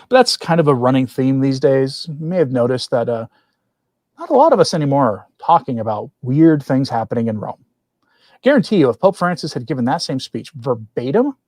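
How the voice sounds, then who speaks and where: A man's voice: mid-range voice, darkish tone, no echo. A man hosting a religious commentary livestream, talking in an earnest, opinionated way with wry asides. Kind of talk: opinionated commentary, partly reading quoted text aloud.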